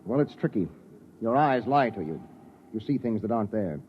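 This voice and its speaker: An actor playing a terse, steady male spaceship captain in clipped, authoritative 1950s radio drama style. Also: on the low side, moderate pace, slight background noise, natural voice. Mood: commanding. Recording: dull vintage radio recording.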